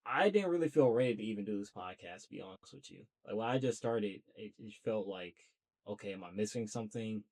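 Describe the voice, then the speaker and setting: A man's voice: lowish voice, lively intonation, brisk, darkish tone. A young man talking earnestly and at length to camera about starting a podcast, in a loose, chatty way. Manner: talkative, earnest, self-motivating. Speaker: podcast host. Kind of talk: casual solo monologue.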